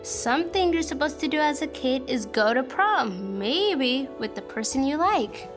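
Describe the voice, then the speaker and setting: A woman's voice: high voice, animated, a little slow. A young woman playing a pushy, encouraging teenage girl, the best friend in a student audio drama, insistent and coaxing. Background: music behind the voice.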